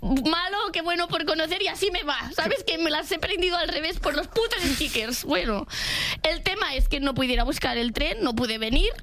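A woman's voice: sits high, lively intonation, moderate pace, pushed and bright. A woman with an accent from Spain, chatting and complaining in a self-mocking, exaggerated way as a comedic contributor on a talk show. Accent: Spanish from Spain. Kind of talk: comedic personal monologue and casual banter.

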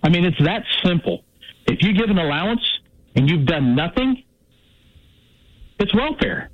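A man's voice: sits high, sing-song, a little hiss or hum. Opinionated older man calling into radio. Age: 60s. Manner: opinionated, halting with restarts. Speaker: caller. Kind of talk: call-in radio. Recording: dull dry phone-line call.

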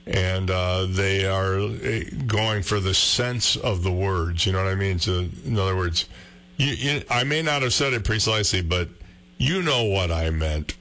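A middle-aged radio man with a deep, low baritone, talking in an easygoing way and gently poking fun at himself as he works through his thoughts. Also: animated, measured pace. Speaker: radio host. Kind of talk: casual conversation.

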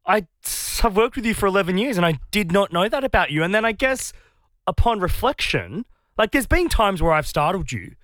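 Teasing Australian female co-host, animated delivery, close dry mic. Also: medium pitch, a little fast, dry recording. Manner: teasing, playful. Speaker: radio co-host. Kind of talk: casual radio chat.

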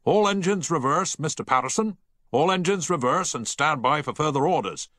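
A commanding sea captain, put on by a male narrator, bellowing orders in a raised, lively voice. He barks each command over a clear, dry recording. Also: a little high, fairly quick, dry recording, voice pushed up. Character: commanding male ship captain under fire.

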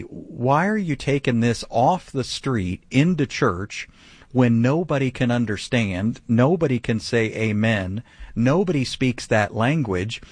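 A man's voice: medium pitch, no room sound. A man teaching the Bible on a radio broadcast, emphatic and full of rhetorical questions. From grew up speaking some Vietnamese. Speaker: pastor.

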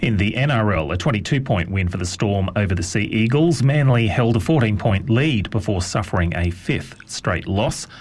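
A man reading a radio news bulletin in a measured, formal way. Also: on the low side, expressive. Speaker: newsreader.

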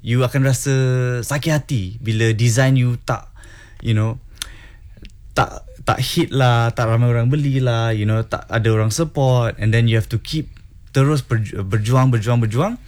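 A man's voice: mid-range voice, normal speed, a little mellow. A man speaking as a guest, giving earnest, encouraging advice and repeating words for emphasis. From grew up in Singapore and Hong Kong. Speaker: guest entrepreneur. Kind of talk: casual advice talk, mixing English and Malay.

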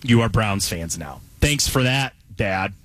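A man's voice: fairly low pitch, animated, unhurried, fairly bright tone, no room sound. A man from Cleveland, Ohio, hosting a casual sports radio talk show, chatty and storytelling.

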